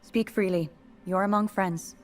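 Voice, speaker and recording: A woman playing a composed, commanding resistance leader in a video game, measured and authoritative. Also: medium pitch, fairly even intonation, soft background music, close to an everyday voice. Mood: composed, firm. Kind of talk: video game cutscene acting.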